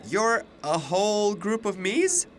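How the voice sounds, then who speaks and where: A man's voice: sits high, big pitch swings, slow pace, exaggerated voice. A man playing a bewildered, skeptical young university student in an audio drama, questioning and incredulous.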